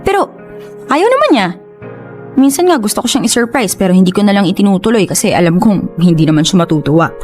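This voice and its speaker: A woman's voice: medium pitch, very animated, fast, a little mellow, soft background music, close to an everyday voice. A young woman with a Filipino accent acting an exasperated, earnest girlfriend confiding in a friend in a radio drama. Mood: resigned, wistful.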